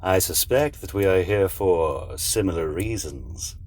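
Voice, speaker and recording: An actor in an audio drama playing a cold-blooded, condescending villain with a formal, mocking, deliberate manner. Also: male voice, low-pitched, lively intonation, darkish tone.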